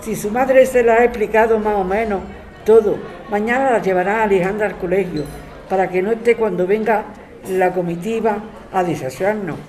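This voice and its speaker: A woman's voice: mid-range voice, moderate pace, darkish tone, lightly acted. A woman playing a kind, composed neighbour facing eviction, talking conversationally in an audio drama. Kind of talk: scripted amateur radio drama.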